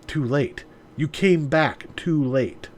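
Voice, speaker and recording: A man as a calm, resigned young man. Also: fairly high pitch, slow pace. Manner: calm, subdued.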